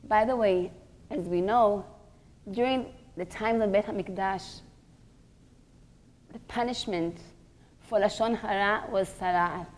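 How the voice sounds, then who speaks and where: A woman teaching a religious class in a deliberate, emphatic way, pausing between short phrases and posing rhetorical questions. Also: mid-range voice, a little mellow.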